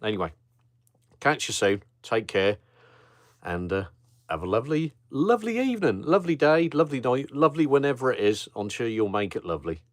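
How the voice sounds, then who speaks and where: A middle-aged man with a Norfolk accent, hosting a relaxed live chat for viewers of his travel channel, rambling with ums and chuckles. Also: mid-range voice, fairly quick, no echo, studio quality. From Norfolk, England. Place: home at night, streaming on a phone. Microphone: phone held in portrait mode.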